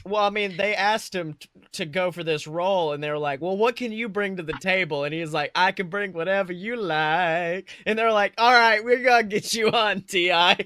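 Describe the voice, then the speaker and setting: A man co-hosting a casual movie review chat, talking in an excitable, slangy way with casual swearing. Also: high voice, moderate pace, few pauses, clear, resonant tone, no echo. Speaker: podcast co-host.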